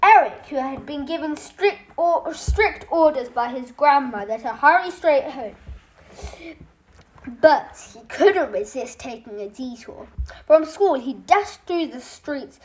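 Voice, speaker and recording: A high-pitched reader tells a children's story aloud. The delivery is slow and halting, with small stumbles that get corrected along the way. It is scripted storybook narration. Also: animated, poor audio.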